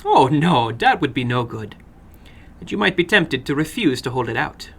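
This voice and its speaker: A man voicing an eager, animated little foreign gambler in halting, broken English for a dramatic horror story reading. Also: medium pitch, slightly rough, full character voice. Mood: explaining, insistent.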